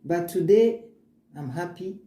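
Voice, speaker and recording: A woman's voice: fairly low pitch, animated, slow pace, dark tone. A woman showing her homemade oils in a casual vlog, earnest and upbeat, with frequent restarts. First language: African language. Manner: earnest, upbeat, speaks of faith, many restarts. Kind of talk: casual show-and-tell, switching between English and French. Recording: echoey room with faint ambient noise.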